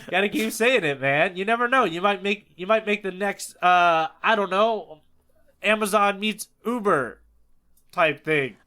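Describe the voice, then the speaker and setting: A man's voice: high-pitched, measured pace, pushed and bright. A man co-hosting a podcast, talking casually and enthusiastically about stories and manga. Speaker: podcast co-host.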